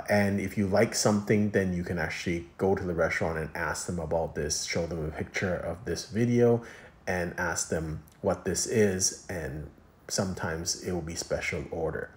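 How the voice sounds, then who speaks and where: A man with a low-pitched voice narrates a casual food review. He explains things as he goes, in a loose, rambling way with a hesitant flow. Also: darkish tone.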